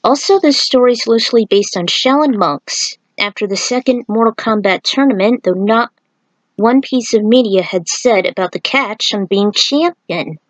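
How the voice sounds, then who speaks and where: A woman's voice: on the high side, moderate pace, no echo, rough recording. A woman reading her own fan fiction aloud as an amateur narrator and adding author's notes. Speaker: fan fiction writer and podfic reader.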